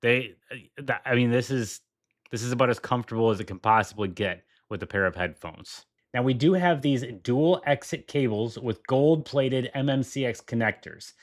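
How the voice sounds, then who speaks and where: A man's voice: medium pitch, normal speed. A man hosting a tech video, walking through a product demo with enthusiasm and repeating his points for emphasis. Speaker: tech reviewer.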